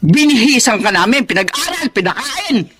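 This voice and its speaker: Actress: mid-aged mother, guilt-tripping, very expressive pitch. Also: moderate pace, a little hiss or hum. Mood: indignant. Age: middle-aged. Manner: coaxing, then indignant and accusing. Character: demanding mother of a grown daughter. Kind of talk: TV-style family drama acting.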